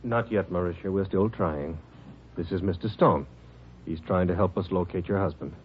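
A man's voice: low-pitched, moderate pace, close to an everyday voice. A man playing a frustrated, weary hospital doctor in 1950s radio drama style. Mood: businesslike. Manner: exasperated, brooding. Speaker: doctor character. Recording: muffled, noisy old radio recording.

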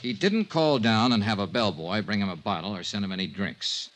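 An actor playing a shrewd, persistent insurance investigator in a 1950s radio drama, probing and wry. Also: male voice, lowish voice, animated, brisk, a bit bright. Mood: insistent.

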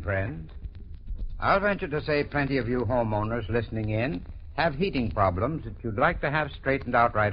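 Earnest vintage radio pitchman, muffled sound. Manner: formal, earnest salesmanship. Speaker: sponsor's spokesman, heating expert. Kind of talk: 1930s scripted radio advertising. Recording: muffled, hissy old radio broadcast.

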